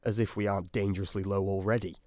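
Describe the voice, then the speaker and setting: An actor playing an anxious, self-doubting, sardonic submarine doctor in a radio drama. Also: male voice, fairly low pitch, steady tone, fast, a little mellow, no echo, studio quality, natural voice. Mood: wry, uneasy. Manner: nervy, sardonic, brooding. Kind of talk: radio drama acting with diary-style monologue.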